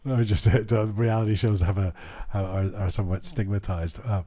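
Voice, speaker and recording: A man hosting a panel podcast, reflective and halting, often restarting his sentences as he thinks aloud. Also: lowish voice, steady tone, warm tone. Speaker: podcast host. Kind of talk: panel discussion.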